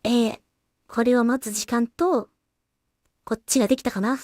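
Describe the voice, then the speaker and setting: Woman, casual work-along commentary, thinking aloud, mumbly, higher-pitched voice, very dry recording. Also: fairly even intonation, moderate pace, darkish tone, no room sound. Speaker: solo animation creator and streamer.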